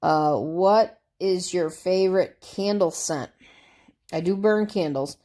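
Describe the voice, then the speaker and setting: A woman's voice: on the low side, slow pace, mellow. A woman vlogger chatting casually through tag questions, congested from a cold, rambling and easygoing. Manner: rambling, easygoing, with fillers and asides.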